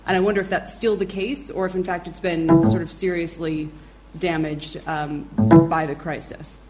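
A woman's voice: lowish voice, steady tone, moderate pace, over loud music, rough recording. A woman speaking on a conference panel, thinking aloud with hedges and fillers. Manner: thoughtful, hedging with fillers. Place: conference hall with audience.

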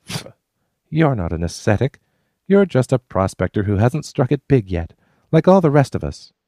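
A man's voice: medium pitch, very animated, normal speed, warm tone, dry recording, studio quality, pitched above the performer's own voice. A man voicing a spirited frontier woman who teases her companions and talks breezily. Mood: teasing. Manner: teasing, breezy.

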